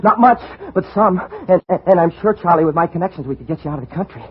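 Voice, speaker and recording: An actor playing a desperate, fast-talking schemer on the run in an old-time radio thriller, with a smooth, wheedling way of pleading. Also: male voice, high-pitched. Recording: muffled, noisy old radio drama recording.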